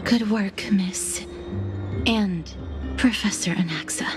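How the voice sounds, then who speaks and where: An actress playing a polite, soft-spoken young lady in a video game, gentle and slightly hesitant. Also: medium pitch, slow, close to an everyday voice. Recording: loud background music.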